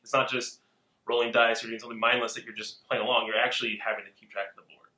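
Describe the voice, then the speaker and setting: Bright-voiced man reviewing a board game. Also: medium pitch, echoey room, low-quality recording. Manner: relaxed, halting with fillers. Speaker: podcast co-host.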